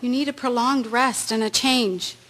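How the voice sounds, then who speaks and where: A woman's voice: a little high, fairly even intonation, moderate pace, lightly acted. A woman acting a caring, concerned First World War nursing sister in a radio drama.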